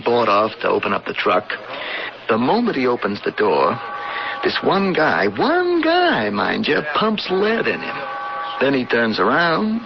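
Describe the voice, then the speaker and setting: A man playing a tough, slangy American police lieutenant in a 1980s radio drama, talking in colloquial, hard-boiled fashion. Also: medium pitch. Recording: muffled, noisy 1980s radio broadcast.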